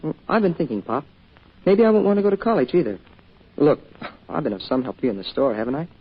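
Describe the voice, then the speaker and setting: An actor playing an eager, earnest young American son in an old-time radio drama, boyish and a little bashful, with a stammering manner. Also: male voice, fairly high pitch, lively intonation, fast talker, slightly rough. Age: young adult. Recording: dull, hissy old radio recording.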